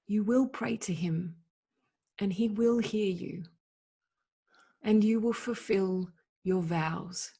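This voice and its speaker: A woman playing a solemn, pious counselor who exhorts with deliberate, emphatic phrasing in a dramatic scripture reading. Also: mid-range voice, vocal fry, warm tone, breathy edge, heavily acted. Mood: earnest exhortation.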